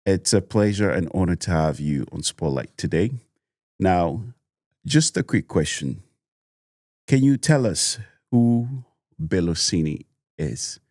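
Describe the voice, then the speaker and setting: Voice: low voice, lively intonation, slow pace, a little mellow, no room sound, studio quality. A podcast host interviewing a guest, enthusiastic and full of encouraging hums. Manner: frequent back-channel hums, enthusiastic. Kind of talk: interview hosting.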